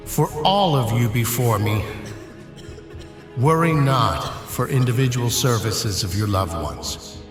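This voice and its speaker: A man playing a grave, pious medieval bishop who preaches solemnly in a declamatory way during a plague, in an audio drama. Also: medium pitch. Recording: roomy church-like echo, music behind.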